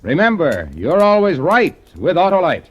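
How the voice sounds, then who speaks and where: A man's voice: high voice, huge pitch swings, normal speed. A man announcing a 1940s radio drama and reading its sponsor ads in a polished, emphatic, salesy way.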